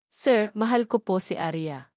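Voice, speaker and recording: A woman's voice: medium pitch, lively intonation, unhurried, a little mellow, no echo, studio quality, close to an everyday voice. A woman voicing a humble young man in love, earnest and respectful, in an audio drama. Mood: humble, pleading. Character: humble, lovestruck, earnest young male suitor.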